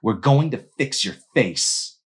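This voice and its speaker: Guy doing a mocking teen mean girl for an audiobook, drawn-out sneer, pitched up from his own. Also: lively intonation, breathy edge, full character voice. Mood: mocking cruelty. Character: cruel, taunting teenage mean girl.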